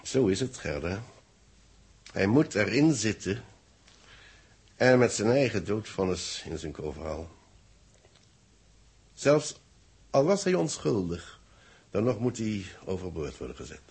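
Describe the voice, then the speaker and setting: An authoritative narrator in an old 1960s radio play. His low voice is notably rough and husky, and he explains everything in measured, formal phrases. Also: very animated, darkish tone, heavily acted. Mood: formal, grave. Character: authoritative man dictating the story of a space mission. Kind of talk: 1960s radio drama acting.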